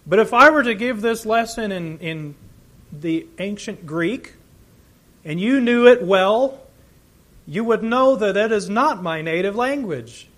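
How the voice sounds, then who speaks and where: A man delivering a sermon in a fairly high voice that moves freely up and down. He speaks earnestly, leaning hard on key phrases and then dropping back down. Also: animated, measured pace, fairly bright tone. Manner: earnest, emphatic teaching with swells of volume. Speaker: preacher.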